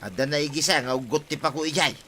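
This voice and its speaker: A man's voice: a little high, fast, bright, forceful tone. A man acting an easygoing, mildly scolding village husband with an Ilocano accent in an audio drama. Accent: Filipino, Ilocano.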